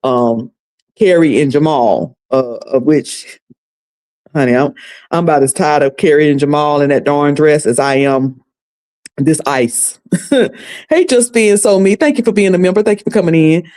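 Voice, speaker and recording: A woman's voice: low-pitched, moderate pace, a little mellow. A woman from North Carolina with a Southern accent, hosting a casual recap stream and chatting folksily with her viewers. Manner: chatty, folksy, addresses viewers as y'all and sugar. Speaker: commentary livestream host.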